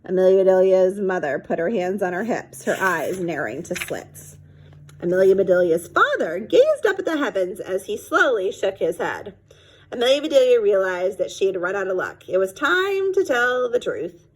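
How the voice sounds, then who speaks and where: Animated woman reading a children's story. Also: medium pitch, normal speed, poor audio. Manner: expressive, explains idioms to young listeners. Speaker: read-aloud storyteller. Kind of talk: reading a children's chapter book aloud, with brief explanatory asides.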